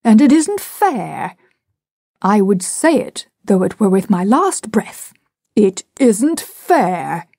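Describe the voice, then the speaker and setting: A woman's voice: medium pitch, very animated, measured pace, warm tone, no room sound, studio quality. A woman voicing a petulant, cowardly father of young children in a storybook reading, indignant and self-pitying.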